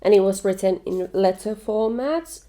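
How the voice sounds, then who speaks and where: A woman's voice: medium pitch, moderate pace, dark tone, faint music behind. A young woman from Finland whose first language is Finnish, chatting casually and eagerly about books on her vlog. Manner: enthusiastic, opinionated, chatty. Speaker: book vlogger. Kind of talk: casual book review chat.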